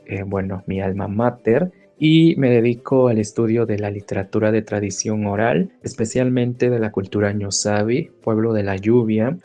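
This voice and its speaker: A man from Mexico, a literature scholar, explaining in a measured podcast talk with frequent "eh" and "¿no?" tags. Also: on the low side, mellow.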